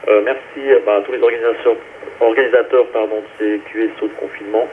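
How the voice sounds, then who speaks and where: A man's voice: fairly low pitch, fairly even intonation, fast. A man checking in and signing over as an amateur radio operator on two-way radio. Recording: tinny ham radio link, faint noise.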